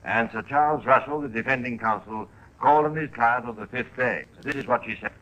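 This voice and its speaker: A man narrating a radio crime drama as host, in a measured, theatrical 1950s style with pointed emphasis. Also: medium pitch, pushed and bright, highs cut off.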